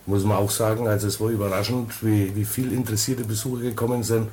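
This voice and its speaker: A man's voice: fairly low pitch, level tone, fast talker, darkish tone, a little hiss or hum. A man hosting a casual radio interview, chatty and informal with loose grammar. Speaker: radio host. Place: record shop studio.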